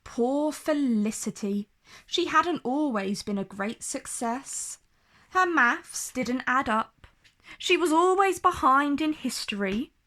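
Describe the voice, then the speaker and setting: A woman reading a children's storybook aloud in an expressive, deliberate storytelling manner. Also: high voice. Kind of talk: scripted storybook narration read aloud.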